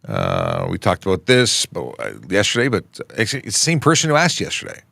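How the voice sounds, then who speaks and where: A middle-aged male traffic cop from Canada hosts a relaxed livestream Q&A, answering viewer questions in a chatty, plain way. His voice sits very low with a gravelly edge. The close mic gives a dry, upfront sound. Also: brisk, no echo. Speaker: traffic police officer hosting a livestream Q&A. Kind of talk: casual livestream Q&A. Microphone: close studio microphone.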